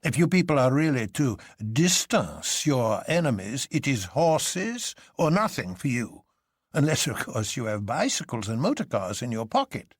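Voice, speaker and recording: A man voicing a sober, courteous elderly French colonel in a measured, formal and deliberate manner. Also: mid-range voice, very animated, husky, no room sound, clean studio sound. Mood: matter-of-fact.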